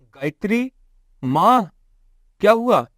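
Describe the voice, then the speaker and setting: A man's voice: high voice, sing-song, slow pace, a bit bright, no echo, studio quality, pitched above the performer's own voice, exaggerated voice. A man voicing a poor, troubled family man, earnest and plaintive, in a cartoon moral story. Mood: anxious, pleading. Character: poor, distressed, pleading young family man.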